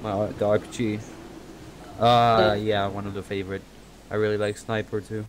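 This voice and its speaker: Very deep, flat-voiced man in game chat. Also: normal speed, a little hiss or hum. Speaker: gaming friend. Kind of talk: casual gaming chat.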